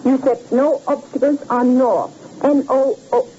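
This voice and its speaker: A nervous crook's sidekick on old radio. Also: male voice, very high voice, steady tone, unhurried, dark tone. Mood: uneasy. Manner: anxious, baffled. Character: nervous puzzled male crook accomplice. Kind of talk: 1940s radio acting. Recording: muffled hissy old radio broadcast.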